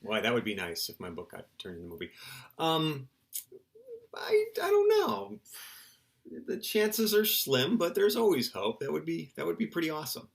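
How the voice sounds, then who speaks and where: A man's voice: a little high, huge pitch swings, normal speed, gravelly. A middle-aged man, a children's book author, giving casual, playful interview answers in simple terms for young listeners.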